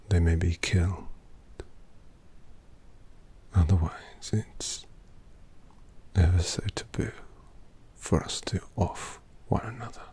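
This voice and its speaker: Refined vampire painter, courteous and predatory, a man doing it in a very deep, slow whisper. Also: exaggerated voice. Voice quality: soft whispery delivery with gravelly creak. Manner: polite, unhurried, quietly menacing. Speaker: ASMR roleplay creator. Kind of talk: whispered ASMR roleplay.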